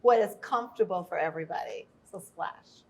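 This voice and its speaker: A woman in her fifties telling a personal story as a podcast guest, earnest and emphatic, with quick asides. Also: on the low side, vocal fry, breathy edge, rough recording. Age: 50s. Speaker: podcast guest, workforce and inclusion leader.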